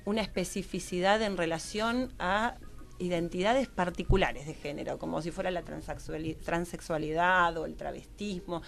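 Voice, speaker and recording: Halting Argentine woman, lower voice. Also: vocal fry. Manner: halting, repetitive, searching for words. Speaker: guest researcher on gender and health law. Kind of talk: radio interview conversation.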